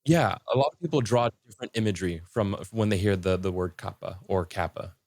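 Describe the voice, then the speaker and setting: A man explaining folklore in casual conversation as a podcast guest, haltingly rephrasing and repeating himself as he goes. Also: sits low, a little mellow, no echo.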